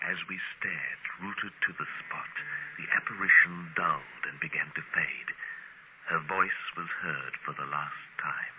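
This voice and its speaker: Deep-voiced adventurer, vintage radio recording. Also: male voice. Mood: grave wonder. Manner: formal, deliberate, dramatic. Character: grave male adventurer narrating his own strange tale. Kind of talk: old-time radio drama narration. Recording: tinny, noisy old radio recording.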